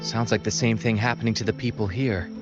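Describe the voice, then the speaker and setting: Man acting a measured troupe man, music behind. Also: lowish voice, steady tone, dull audio, lightly acted. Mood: serious, concerned. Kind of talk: scripted game dialogue.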